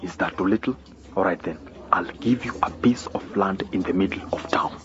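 A man's voice: low voice, normal speed, a bit bright, in a whisper, highs cut off. An actor playing a corrupt, bullying male politician in a radio drama. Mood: sly, coaxing. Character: corrupt, menacing male politician offering bribes.

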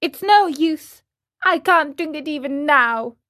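A woman's voice: sits high, slow pace, pushed and bright, voice pushed up, exaggerated voice. A woman voicing a stubborn, melodramatic boy marionette in a storybook reading, petulant and given to wailing exclamations. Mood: whiny, stubborn.

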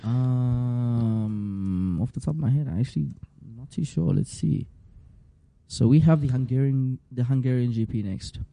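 Zulu-speaking man with a dark, worn voice. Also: medium pitch, a little slow, vocal fry. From South Africa. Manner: laughs often, relaxed banter. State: strained voice from shouting. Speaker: podcast co-host. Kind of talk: casual chat. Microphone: studio mic.

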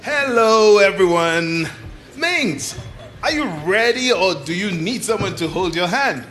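A taunting rival presenter acted by a man in a radio drama. The voice is somewhat high and very slow, dripping out each smug line. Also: animated, background noise. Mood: mocking. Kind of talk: live radio drama acting.

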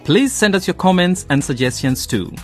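Man, radio drama announcer, scripted narration, expressive range, faint guitar behind. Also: high voice, moderate pace.

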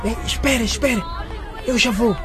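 A man's voice: very high-pitched, a little fast, music in the background, a crowd in the background. A man playing an anxious, sulky teenage schoolboy in a radio drama. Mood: nervous, pleading.

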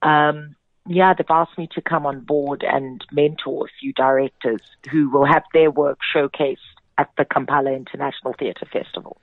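A woman's voice: low voice, fairly even intonation, moderate pace, dry recording. A South African woman, a theatre director, speaking as a guest in a radio interview. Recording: narrow phone-like sound.

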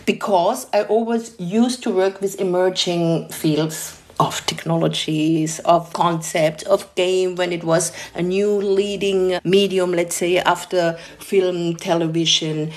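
A middle-aged woman speaks English in a low voice. She answers reflectively, pausing to search for words, with the odd soft laugh. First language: German. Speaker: artist and researcher.

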